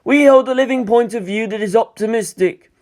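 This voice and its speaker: A man performs an elderly monk in a high-pitched voice. He is a serene, learned storybook teacher who lectures in formal phrases. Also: a little fast, darkish tone. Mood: serene, explanatory. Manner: formal, explanatory.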